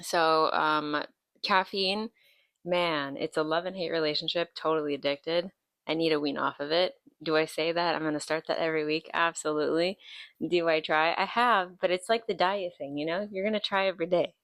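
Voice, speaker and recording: A woman's voice: low-pitched, fairly even intonation, normal speed, a bit bright, no echo. A woman in her late twenties hosting a podcast, chatting casually and rambling through tangents with lots of ums. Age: 20s. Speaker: podcast host. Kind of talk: casual chat.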